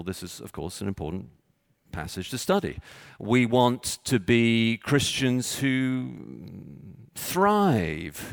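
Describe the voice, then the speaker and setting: A man from Texas with a Texan accent, preaching a sermon with measured, halting delivery and frequent pauses. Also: medium pitch, dry recording, studio quality. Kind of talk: sermon with scripture reading.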